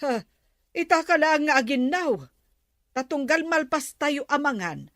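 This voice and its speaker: An exasperated, scolding housewife played by a woman in a comedy audio drama. Her slightly high voice is demanding and bossy, recorded close and dry. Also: lively intonation, measured pace, fairly bright tone, no echo, heavily acted. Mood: exasperated nagging. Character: nagging, exasperated wife ordering husband around the house. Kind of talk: comedy radio drama acting.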